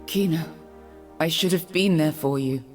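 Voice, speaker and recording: An actress voicing a regal, resolute young noblewoman mage in a video game, with a proud, measured, dramatic delivery. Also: deep voice, darkish tone, soft background music. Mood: sorrowful.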